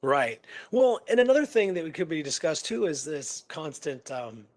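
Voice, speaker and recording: A man's voice: on the high side, sing-song, moderate pace, a crowd in the background. A man co-hosting a radio show, telling a story in a casual, digressive way and often checking in with the listener. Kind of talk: casual conversational storytelling and discussion.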